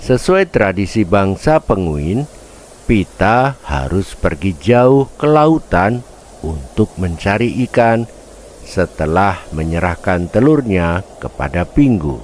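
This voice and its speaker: A man narrating a children's fable in a dramatic, deliberate storytelling manner. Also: sits low, sing-song, a little mellow, slight background noise.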